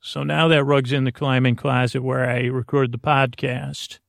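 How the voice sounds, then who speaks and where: A middle-aged American man mumbles through a halting, meandering bedtime podcast monologue, trailing off mid-thought in a gravelly voice. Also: medium pitch, level tone, no echo, studio quality. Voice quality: gravelly, creaky. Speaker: podcast host. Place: small closet, very dry sound.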